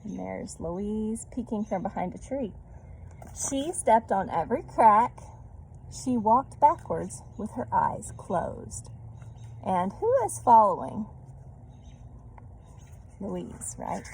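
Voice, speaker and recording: Slow, creaky woman reading to children. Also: mid-range voice, expressive, darkish tone, slight background noise, poor audio. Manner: checks in with listeners using tag questions. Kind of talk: children's read-aloud with chatty asides.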